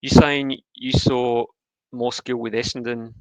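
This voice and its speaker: A man's voice: mid-range voice, little pitch movement, clear, resonant tone, no echo, low-quality recording. A man who is a podcast guest, chatting casually about sport and often restarting his sentences. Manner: hesitant, restarts often, measured.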